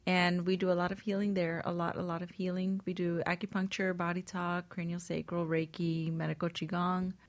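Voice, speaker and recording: A woman's voice: fairly low pitch, little pitch movement, a little fast, few pauses. A woman guest who practices holistic healing, talking casually on a radio show.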